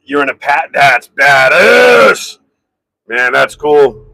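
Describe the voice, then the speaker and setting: A man hosting a casual live stream from his garage, rambling and answering viewers' comments in a very loud voice. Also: a little high, big pitch swings, slow pace, vocal fry, dry recording. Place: home garage.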